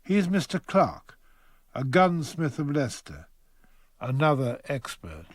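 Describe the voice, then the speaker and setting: A man's voice: fairly high pitch, big pitch swings, unhurried, dry recording. A man narrating a true crime radio drama as a storyteller, in scripted present-tense prose. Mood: measured. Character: male storyteller introducing a true crime trial.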